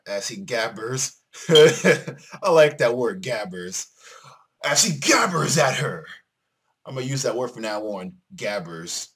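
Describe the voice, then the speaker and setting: Animated man hosting a let's play. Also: mid-range voice, breathy edge. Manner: stumbles over words and jokes about it. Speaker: gaming video host. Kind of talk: casual let's play, reading a visual novel aloud with commentary. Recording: music behind the voice. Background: game music.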